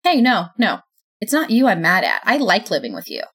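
A woman voicing a tall, brash young woman yelling in screechy, angry bursts. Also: mid-range voice, wildly swooping pitch, brisk, husky, voice pushed up, full character voice. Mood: upset.

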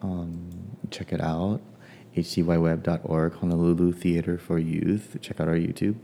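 A man from Hawaii, a playwright musing casually about his work and family, pausing often with ums. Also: sits low, level tone, vocal fry, warm tone. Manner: hesitant, many ums and pauses. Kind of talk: casual personal reflection.

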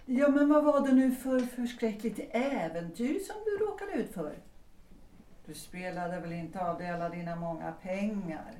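An actress playing a composed, gently probing married woman in a period audio drama, with measured, deliberate phrasing. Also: mid-range voice, animated, natural voice. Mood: cool, curious. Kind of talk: stage-play audio drama acting. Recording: echoey, distant, noisy room sound.

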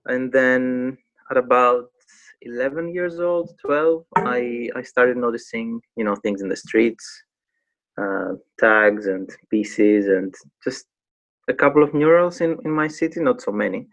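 A man in his thirties from Greece speaks English with a Greek accent at a middling pitch. He talks casually as an interview guest, a little hesitant as he searches for the right words. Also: takes it slowly, fairly bright tone, muffled recording. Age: 30s. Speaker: guest, graffiti artist.

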